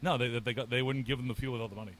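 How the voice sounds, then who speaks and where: A man co-hosting a podcast, talking sports excitedly with lots of stammered restarts. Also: medium pitch, very fast, a little hiss or hum. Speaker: podcast co-host. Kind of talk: casual sports chat. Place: sports bar.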